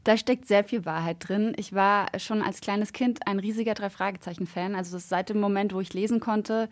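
Enthusiastic woman chatting, medium pitch. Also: steady tone, normal speed. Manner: enthusiastic, effusive. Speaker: interviewed fan and slam poet. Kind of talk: casual interview chat.

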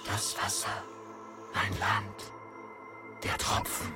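A man's voice: on the low side, monotone, very slow. A man voicing an ominous, all-devouring presence in a radio drama, in a fragmented, dreamlike chant. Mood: ominous, covetous. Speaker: actor. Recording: loud music with sonar-like tones.

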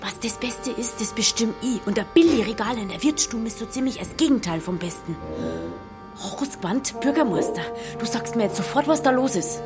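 A woman playing a stubborn, quick-witted young village innkeeper, speaking pointed Bavarian dialect in a drama. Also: fairly high pitch, animated, music in the background, lightly acted. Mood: firm, demanding. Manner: feisty, pointed dialect talk.

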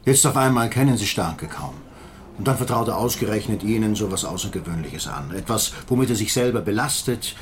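An actor playing an impatient, sceptical police inspector who questions curtly and sarcastically in a radio drama. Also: male voice, on the low side, lively intonation, a little fast, noisy background, close to an everyday voice. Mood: probing, sceptical. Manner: curt, probing, sarcastic. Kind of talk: naturalistic German radio drama acting.

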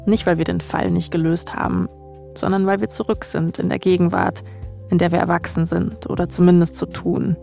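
A woman's voice: on the low side, little pitch movement, moderate pace, gravelly, warm tone, soft background music. A woman, hosting a podcast and narrating from a script. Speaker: podcast host.

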